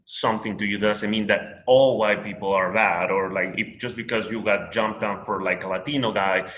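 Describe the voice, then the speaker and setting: A man's voice: on the low side, fairly even intonation, moderate pace, fairly bright tone, echoey room, slight background noise. A man from Peru with a Peruvian Spanish accent, Spanish his first language, talking in a rambling casual conversation full of fillers and restarts.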